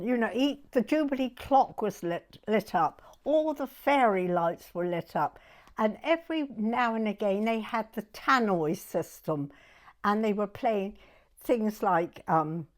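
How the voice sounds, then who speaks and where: Elderly southern English woman, very lively intonation. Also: mid-range voice. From southern England. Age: 90s. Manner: chatty, animated, repeats herself. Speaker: interviewee recalling her youth. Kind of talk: oral history reminiscence.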